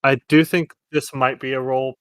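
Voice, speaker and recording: A man's voice: medium pitch, flat delivery, normal speed, clear, resonant tone, no room sound, studio quality. A man improvising casually as game master of a tabletop game, bantering with players.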